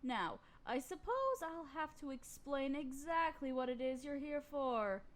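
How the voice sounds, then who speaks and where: Female voice actor as domineering evil queen, high pitch, unhurried, formal, condescending, menacing, fantasy audio drama. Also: expressive. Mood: calculating. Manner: formal, pompous, condescending, slow deliberate delivery. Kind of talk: scripted fantasy audio roleplay addressed to listener.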